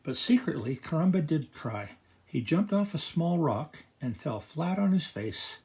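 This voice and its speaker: Older guy reading a kids storybook, gravelly, kinda higher voice, really animated. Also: a little slow. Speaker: grandfather reading picture books aloud. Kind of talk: expressive children's storytime narration.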